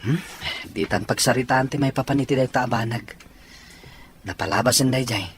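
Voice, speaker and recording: A man's voice: mid-range voice, normal speed, a little hiss or hum, natural voice. An actor playing an earnest, worried young man about to marry, in a radio drama. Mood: earnest, resigned.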